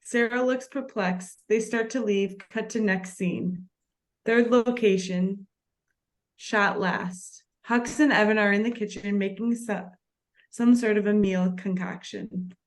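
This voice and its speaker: A woman's voice: medium pitch, steady tone, takes it slowly. A woman narrating short stage directions from a script at a table read.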